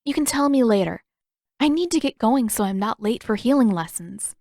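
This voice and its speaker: A woman voicing a brisk, confident, teasing teenage girl in an audiobook story, with a sardonic manner. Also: fairly high pitch, expressive, darkish tone, no echo, clean studio sound. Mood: brisk, dismissive. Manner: sardonic, dismissive.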